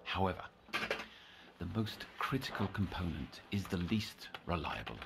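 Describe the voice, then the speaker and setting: Deep-voiced, brooding, obsessive counsellor. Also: male voice, big pitch swings, very noisy. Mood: grave, reflective. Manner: formal, measured diction, muttering into whispers. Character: brooding, obsessive male psychiatric counsellor, formal and scheming. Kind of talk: audio drama monologue and dialogue.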